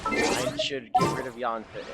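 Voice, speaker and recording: Offhand game streamer over music. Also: measured pace. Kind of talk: casual gameplay commentary. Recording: clear game music behind. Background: video game music.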